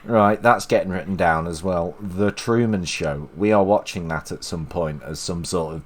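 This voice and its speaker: A man's voice: deep voice, moderate pace. A man from England hosting a live stream, chatting casually and rambling, with lots of restarts and fillers. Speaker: stream host. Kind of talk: casual chat.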